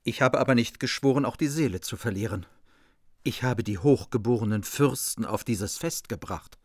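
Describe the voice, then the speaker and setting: Schauspieler als zerrissener Markgraf, verzweifelt, trockene Studioaufnahme. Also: male voice, mid-range voice, moderate pace, darkish tone, dry recording, lightly acted. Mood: solemn. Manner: anguished, pleading. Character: torn noble margrave, anguished by conflicting oaths. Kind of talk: radio play acting. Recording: dry studio.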